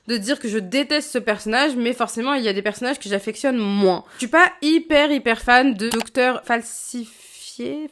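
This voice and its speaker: A woman's voice: a little high, a bit bright. A woman in her late twenties, a YouTuber answering viewer questions in a frank, chatty way, with many fillers and restarts. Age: late 20s. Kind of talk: casual Q&A vlog.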